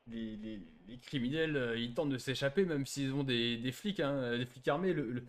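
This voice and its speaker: A man's voice: mid-range voice, moderate pace. A man improvising casually in an online crime role-play as a suspect, hesitant and repeating his words. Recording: noisy game voice chat.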